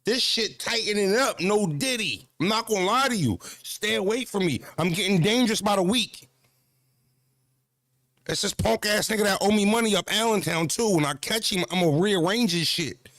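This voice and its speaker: A man's voice: high-pitched, moderate pace, fairly bright tone, dry recording, studio quality. A man in his thirties talking casually to camera about battle rap and self-care, loose and profane, full of "you know what I mean.". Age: 30s. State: just worked out at the gym. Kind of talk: casual vlog talk to camera.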